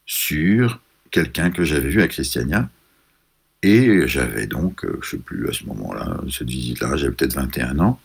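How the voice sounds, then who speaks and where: A man from France, an author, telling a reflective personal story in a measured, pausing way, searching for his words. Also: extremely low pitch, gravelly, husky.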